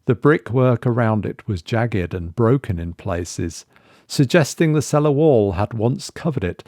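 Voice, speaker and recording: A man narrating a horror story in a measured, suspenseful way. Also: on the low side, vocal fry, darkish tone, no room sound, studio quality. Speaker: story reader.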